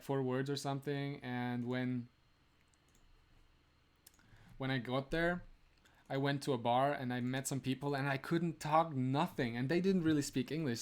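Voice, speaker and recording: A man's voice: medium pitch, darkish tone. A young man from Romania, the host of a live stream, chatting casually with viewers, laughing often and filling his talk with "like". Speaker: streamer answering chat.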